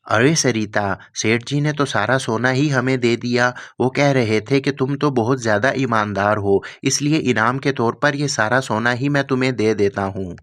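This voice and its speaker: A man's voice: mid-range voice, moderate pace, hardly any pauses, a bit bright, lightly acted. A man voicing an earnest, grateful poor farmer in a cartoon story.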